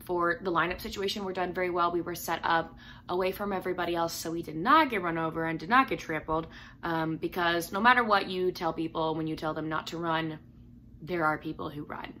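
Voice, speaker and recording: A hoarse young woman in her twenties, chatting casually to camera about books at home, with lots of fillers and likes. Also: medium pitch, fairly bright tone. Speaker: book vlogger.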